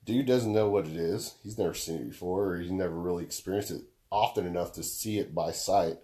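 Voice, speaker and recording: A young man with a deep baritone, chatting casually as a podcast co-host. His voice is low and mellow, and he is laid-back, swearing freely while working through long explanations. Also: brisk.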